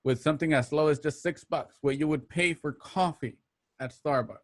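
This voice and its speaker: A man hosting an online show, giving casual, slightly halting announcements and plugs to listeners. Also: fairly high pitch. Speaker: host of an online radio drama show.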